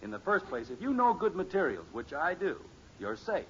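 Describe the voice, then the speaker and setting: A man's voice: on the high side, very animated, normal speed, fairly bright tone. An actor playing a patient, reasonable middle-aged American father in a radio sitcom, with a folksy, emphatic way of reasoning. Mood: proud, pleased. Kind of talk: 1950s radio sitcom acting. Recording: muffled, noisy old radio recording.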